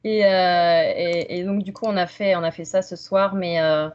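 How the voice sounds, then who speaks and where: A woman in her thirties from Franche-Comté in France, presenting science informally and haltingly on a video call. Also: on the low side, fairly even intonation. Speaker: researcher, doctoral student presenting. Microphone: video call microphone. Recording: muffled video-call audio.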